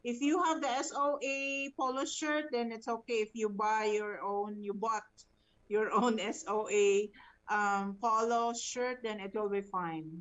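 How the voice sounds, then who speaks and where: A woman's voice: on the high side, fairly even intonation, a little slow. A woman with a Filipino accent and Cebuano as her native language, briefing a meeting informally in an instructive way, often adding little checking tags. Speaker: program coordinator leading an online meeting. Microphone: video call. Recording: dull, lo-fi video call audio.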